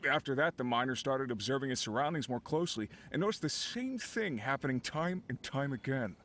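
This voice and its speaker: An actor playing an easygoing but uneasy miner who leads a crew, speaking in an earnest, conversational, explanatory way as video game dialogue. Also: male voice, mid-range voice, animated, brisk, fairly bright tone, slight background noise, lightly acted. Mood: uneasy, puzzled. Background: game background music.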